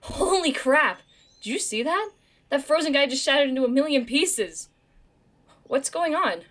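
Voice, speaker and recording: An actor playing an excitable, slangy young viewer in an audio drama. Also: sits high, normal speed. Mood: stunned, excited.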